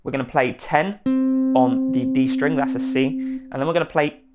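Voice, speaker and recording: Male online guitar tutor, mid-pitched, methodical step-by-step delivery, guitar music audible around the voice. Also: moderate pace. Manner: step-by-step, spelling out instructions. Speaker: guitar teacher, channel host. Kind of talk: instructional guitar tutorial to camera. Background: guitar playing.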